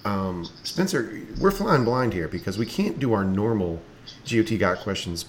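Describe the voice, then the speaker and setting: A man hosting a podcast in casual chat, playful and animated, with a slight rasp in his voice. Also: on the low side, moderate pace, a little hiss or hum. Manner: playful, animated, laughs often. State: slightly raspy from lost voice. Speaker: podcast host.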